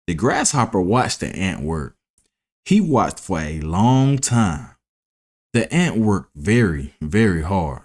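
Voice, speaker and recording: Slow, expressive man reading a children's story. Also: sits low. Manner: deliberate, expressive, enunciating for young listeners. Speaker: read-aloud storyteller for children. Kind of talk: scripted children's story narration.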